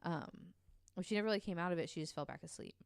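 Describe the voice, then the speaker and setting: A woman's voice: fairly low pitch, fast talker, clear, resonant tone, no room sound, studio quality. A woman co-hosting a podcast, casually telling a true story with lots of ums and likes. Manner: chatty, many ums and likes, laughs easily.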